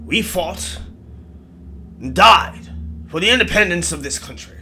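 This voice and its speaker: Indignant spectral army colonel, played by a male storyteller, pompous archaic speech, mock old English accent, raised pitch, ringing bright delivery. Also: lively intonation, measured pace, soft background music, exaggerated voice. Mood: scornful, indignant.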